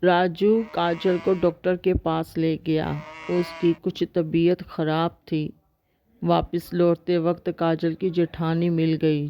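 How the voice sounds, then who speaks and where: A woman's voice: lowish voice, dull audio. A woman reading scripted narration for a bedtime cartoon story.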